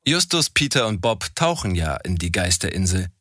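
A man's voice: sits low, big pitch swings, normal speed, pushed and bright, dry recording, clean studio sound. A man putting short, direct interview questions to a guest. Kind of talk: reading prepared questions.

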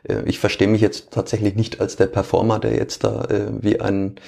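A man's voice: lowish voice, little pitch movement, moderate pace, vocal fry, warm tone. A man with a Franconian accent, a stadium announcer answering interview questions in a rambling way with many fillers. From Franconia, Germany.

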